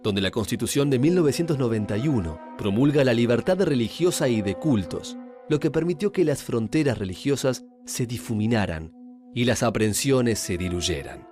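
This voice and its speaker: A man's voice: mid-range voice, lively intonation, normal speed. A man reading formal scripted documentary narration as the narrator. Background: music.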